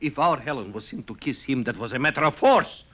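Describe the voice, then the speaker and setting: A man's voice: mid-range voice, animated, a little fast, lightly acted. An actor playing a wily immigrant father in an old radio western, florid and pleading. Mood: indignant, dramatic. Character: wily, theatrical immigrant farmer, haggling father. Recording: muffled vintage radio recording.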